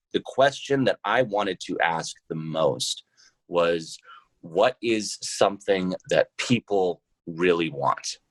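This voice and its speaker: A man speaking casually as an interview guest, pausing often to think as he goes. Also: deep voice, dry recording. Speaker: podcast guest, role-playing show founder and trucker.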